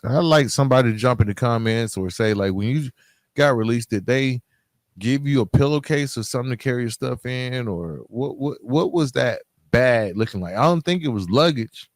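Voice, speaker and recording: A man hosting a podcast, telling personal stories in a candid, conversational, halting way with phrases repeated for emphasis. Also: mid-range voice, expressive, a little mellow, no echo, studio quality. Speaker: podcast host. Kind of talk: casual personal storytelling to listeners.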